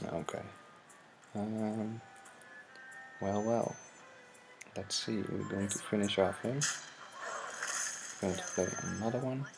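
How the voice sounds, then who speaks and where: A young man from the Netherlands with a Dutch accent, hesitantly commenting on his own card game match in halting English. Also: fairly low pitch, gravelly. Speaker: gaming channel host. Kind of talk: gameplay commentary. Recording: noisy home mic recording.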